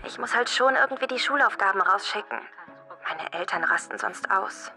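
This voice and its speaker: Audio drama role: analytical teen girl, bright voice, matter-of-fact, music bed underneath. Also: medium pitch, animated, moderate pace. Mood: wary, thoughtful. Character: Nele, wary, analytical teenage schoolgirl. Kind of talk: scripted audio drama dialogue. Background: background music.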